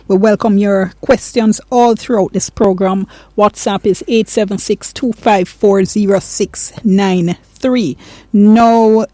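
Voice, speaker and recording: A woman hosting a radio talk show, brisk and conversational as she reads listener questions and introduces segments. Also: medium pitch, dark tone, dry recording.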